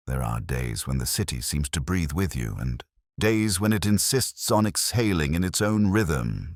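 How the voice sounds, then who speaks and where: A melancholy police detective, acted by a man, recounts his memories for an audio drama. The voice is extremely low and the sound is dry. The delivery is measured, literary and brooding, turned inward in reflection. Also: dry recording, exaggerated voice. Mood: brooding, wistful. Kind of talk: slow literary first-person audio drama narration.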